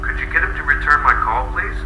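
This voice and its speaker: A man playing a polite businessman on the telephone, speaking formally and deliberately in a scripted language-course demonstration. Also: medium pitch, lively intonation, sharp tone. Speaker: actor in lesson dialogues and model phrases. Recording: roomy sound with faint noise.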